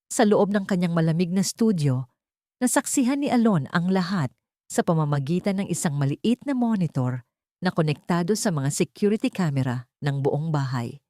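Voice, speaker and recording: Filipino female narrator for dramatic audio fiction. Lowish pitch, soft-toned and warm, very dry sound. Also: dry recording. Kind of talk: scripted dramatic story narration.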